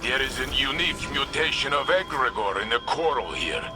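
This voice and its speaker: An actor playing a solemn, noble, battle-hardened warrior in a video game, speaking in formal, grave declarations. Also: male voice, mid-range voice, animated, normal speed, lightly acted. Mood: resolute warning. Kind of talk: video game character dialogue. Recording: music behind the voice.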